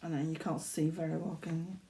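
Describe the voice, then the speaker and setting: A woman's voice: sits low, flat delivery, brisk, warm tone, slight background noise. An older woman from Yorkshire chatting casually at home about her needlework, rambling, with lots of fillers and self-corrections. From Yorkshire, England. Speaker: craft vlogger. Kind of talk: casual chat showing needlework.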